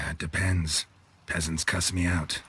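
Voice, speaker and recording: An actor playing a stoic, world-weary monster hunter in a video game, terse and deadpan. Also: male voice, low-pitched, slow pace, gravelly, slight background noise, heavily acted. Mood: dry, resigned. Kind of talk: understated video game acting. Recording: faint music behind the voice.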